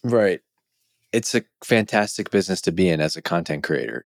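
American male podcast host, lowish dry voice. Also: lively intonation, normal speed, gravelly, no room sound. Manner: informal, chummy, loosely phrased. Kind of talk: casual interview chat.

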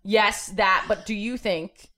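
A woman's voice: medium pitch, sharp tone. A woman hosting a comedy podcast, joking and bantering in casual chat. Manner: joking, self-deprecating, quick banter. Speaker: podcast host.

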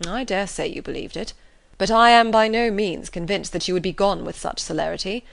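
A woman reads a proud, reserved young gentleman in an audiobook, a little lower and quieter than her narration. He speaks in cool, measured sentences with a close, dry sound. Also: vocal fry, dry recording, natural voice.

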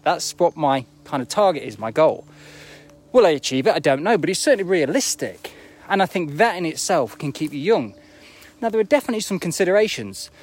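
A man in his forties giving a casual, reflective motivational talk as a running coach on a live video, often checking in with rhetorical questions. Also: a little high. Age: 40s. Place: outdoors, walking uphill near an airport.

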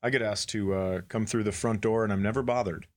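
A man's voice: on the low side, steady tone, a little fast. Middle-aged man joking drily on a podcast. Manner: self-deprecating, dry humor. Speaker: comedy podcast co-host. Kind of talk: casual joking banter.

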